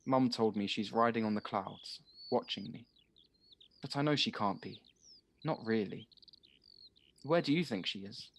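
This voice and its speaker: A man's voice: fairly low pitch, measured pace, long pauses. A man playing an innocent, childlike, plain-spoken friend in an audio drama, speaking in short, earnest sentences. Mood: sad, questioning. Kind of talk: naturalistic audio drama acting. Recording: faint noise on home-device recording.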